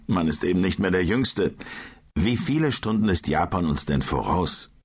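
Low husky man asking lively questions. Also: normal speed. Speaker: colleague asking questions in a language-course dialogue. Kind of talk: scripted audiobook dialogue.

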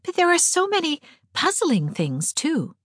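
An earnest, anxious teenage girl from a storybook acted by a woman reader. She speaks high and plaintively, with animated inflection and a fond, sentimental temper. The recording is dry. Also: unhurried, no echo, heavily acted. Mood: uneasy.

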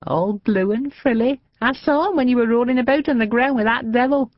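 A woman voicing a frail, chatty old northern lady with a high, quavering, mischievous voice in a storybook reading. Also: brisk, no room sound, dull audio, close to an everyday voice. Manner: gossipy, cheeky, confiding.

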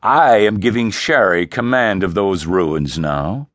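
A man's voice: deep voice, a bit bright, no echo, clean studio sound, exaggerated voice. Man playing a cold, stern old king. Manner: slow, measured, commanding.

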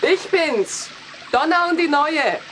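A woman's voice: sits high, expressive, slow pace, heavy background noise. An actress playing a secretive, assured woman who coaxes others into a cult in a German audio drama. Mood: businesslike, secretive. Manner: coaxing, assured.

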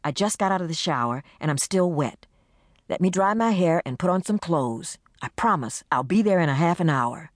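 A woman reads a young bride who stays firm and steady on the phone. Her voice sits low and is delivered calmly. The sound is dry and close. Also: normal speed, no echo, lightly acted. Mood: firm calming. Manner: firm, calming.

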